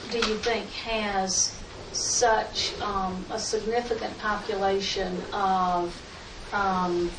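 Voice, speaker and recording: A woman asking questions on a panel, hesitant and full of ums. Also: fairly high pitch. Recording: roomy, distant mic, noisy.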